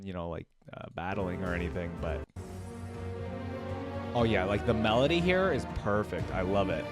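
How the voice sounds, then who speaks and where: Casual male reaction host over prominent music. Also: on the low side. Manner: informal, filler-heavy, thinking aloud. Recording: music playing behind the voice.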